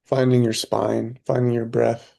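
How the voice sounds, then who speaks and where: A man leading a guided meditation, speaking calmly in short phrases with long pauses between them. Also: medium pitch, creaky. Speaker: meditation teacher.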